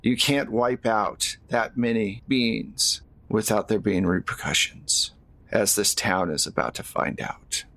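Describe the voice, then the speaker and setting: A man playing a vengeful, hot-headed fairy prince in a tabletop game, speaking in a cold, menacing, measured way. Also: on the low side, fairly bright tone. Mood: cold, bitter.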